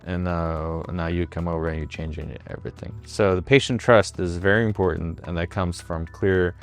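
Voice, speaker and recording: Deep-voiced man. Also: fairly even intonation, soft background music. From Russia. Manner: halting, many fillers and restarts. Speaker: wound care physician. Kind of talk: interview guest.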